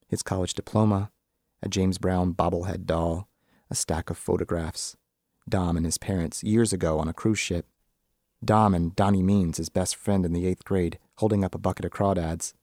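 A man's voice: low voice, darkish tone, no room sound, clean studio sound. A man narrating a piece of literary fiction as a scripted reading. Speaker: author reading own short story.

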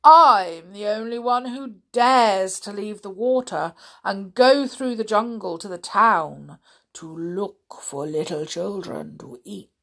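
A greedy, boastful enormous male crocodile from a storybook, voiced by a woman reading aloud. He gloats slowly over his words in a gravelly, unhurried voice that leans louder than the narration around it. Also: medium pitch, full character voice.

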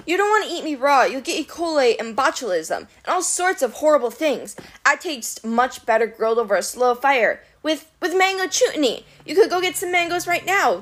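A woman voicing a nervous young male satyr, stammering and fretful, in an audiobook. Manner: stammering, yelping, high and fretful. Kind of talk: audiobook character voice.

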